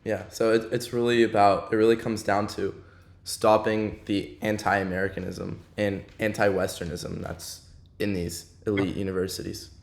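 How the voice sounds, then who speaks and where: Young man hosting, low polite voice. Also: moderate pace, gravelly. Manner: polite, steady questioning. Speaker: podcast host. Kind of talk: interview questions.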